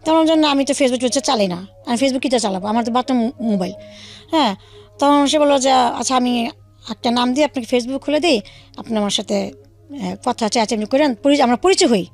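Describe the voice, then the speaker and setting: Woman w high voice telling her life story on radio, quotes old convos, soft music under it. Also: fairly quick. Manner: recounts in detail, quoting past conversations as dialogue. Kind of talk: personal storytelling in a radio interview.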